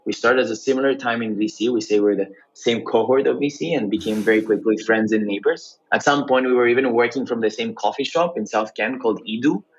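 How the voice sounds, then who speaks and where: A man's voice: medium pitch, a little fast, hardly any pauses, dull audio. A man from Greece telling his career story conversationally as an interview guest, often tagging phrases with "right?". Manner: frequent "right?" tags. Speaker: interview guest, venture investor.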